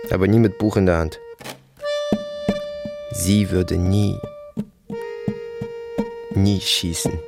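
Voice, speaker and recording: An actor playing a dark-blond soldier who remembers his family in terse, repeated fragments, in an intimate radio drama monologue. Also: low-pitched, creaky, exaggerated voice. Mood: reflective, plain.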